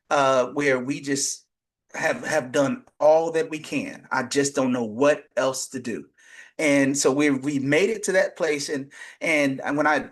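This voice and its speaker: A man guesting on a podcast talks in an earnest, explaining way, with a voice pitched a little high for a man. He often restarts his sentences as he builds his point, in the easy tone of a coach. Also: fairly bright tone. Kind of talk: conversational interview.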